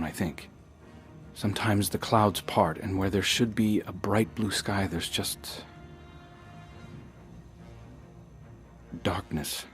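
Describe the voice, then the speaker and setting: An actor playing a brooding hunter haunted by strange dreams in a video game, speaking in a halting, introspective way. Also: male voice, low voice. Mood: troubled, wistful. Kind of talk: cinematic video game dialogue.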